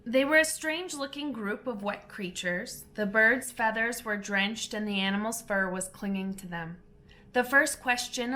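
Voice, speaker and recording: A woman reading a children's audiobook in an expressive, deliberate storybook narration style. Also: medium pitch.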